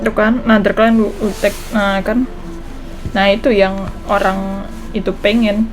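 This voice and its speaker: A woman's voice: mid-range voice, level tone, normal speed. Indonesian woman casually reacting to a game. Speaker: gameplay commentator. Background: game music.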